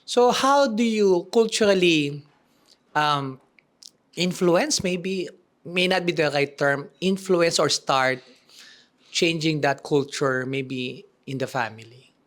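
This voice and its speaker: A man with a somewhat high voice puts a question to a coach in a group session. He speaks haltingly. He restarts often as he searches for the right words. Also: fairly bright tone. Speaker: workshop participant asking a question.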